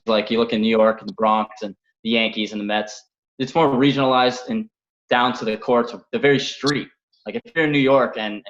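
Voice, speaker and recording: A man's voice: mid-range voice, fairly bright tone, reverberant, muffled recording. A man co-hosting a podcast, with dry, teasing remarks in casual chat.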